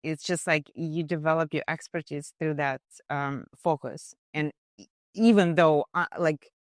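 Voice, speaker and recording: A woman nutritionist on a podcast, describing a client case in casual, halting speech full of fillers and restarts. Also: low-pitched, fairly even intonation, no room sound, studio quality. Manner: hesitant, many fillers and restarts. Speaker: nutritionist guest. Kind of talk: conversational case discussion.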